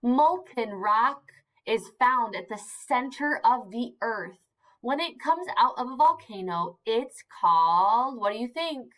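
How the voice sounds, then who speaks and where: A woman teacher reading aloud to young children, expressive and encouraging, using simple emphasized words and pausing to ask questions. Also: on the high side, rough recording. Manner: encouraging, asks questions and pauses for answers. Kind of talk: interactive read-aloud lesson for young children.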